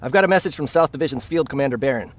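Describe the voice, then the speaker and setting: An actor as a businesslike male officer in a war game. He delivers orders briskly at a medium pitch, with plain authority. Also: lively intonation, natural voice. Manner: matter-of-fact, authoritative. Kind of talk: dramatic video game acting.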